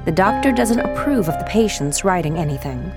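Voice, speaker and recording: A woman's voice: on the low side, lively intonation, brisk. A woman playing a firm, curt nurse minding a patient in a radio play. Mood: firm, humoring. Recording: loud music behind the voice.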